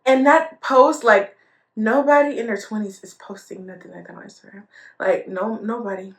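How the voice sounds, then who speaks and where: A woman's voice: fairly high pitch, steady tone, moderate pace. Chatty young woman in an echoey room. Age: 20s. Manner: chatty, laughs often, filler-heavy. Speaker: storytime vlogger. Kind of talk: casual storytime vlog.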